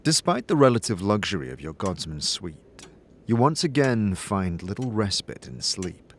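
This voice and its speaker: A man reading scripted fantasy audio drama narration in a measured, atmospheric storytelling manner. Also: on the low side, big pitch swings, a little hiss or hum.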